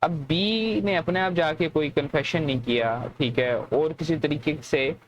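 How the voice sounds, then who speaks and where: A man with a Pakistani accent speaks as a law lecturer in a slightly high voice. He explains step by step, restating each point for students. There is faint noise in the recording. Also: unhurried. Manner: didactic, repeats and rephrases points. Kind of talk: explanatory lecture, reading clauses then explaining.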